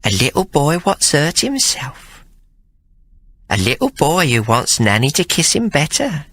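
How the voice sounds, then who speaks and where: A man's voice: a little high, wildly swooping pitch, a little slow, a bit bright, voice pushed up, heavily acted. A man voicing a scheming woman nanny in an audiobook, cooing and teasing. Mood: solicitous, teasing. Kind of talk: audiobook character voice.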